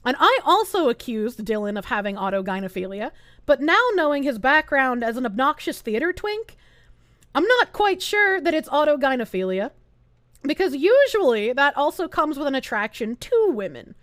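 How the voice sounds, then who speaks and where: High, lively sarcastic woman streamer. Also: normal speed, no echo, studio quality. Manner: sarcastic and animated. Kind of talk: casual reaction commentary.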